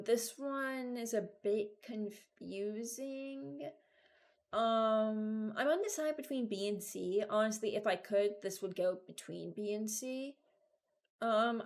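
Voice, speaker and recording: A young adult woman giving casual, unscripted reaction commentary, hesitant and thinking out loud. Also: fairly high pitch. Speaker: video creator ranking game voice lines.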